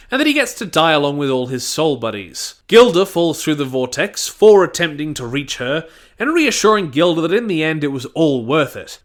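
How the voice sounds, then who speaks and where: A man with a fairly high voice narrates a scripted review. His intonation is very lively, rising and dipping often. He sounds opinionated and slips in jokey asides. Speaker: audio drama reviewer.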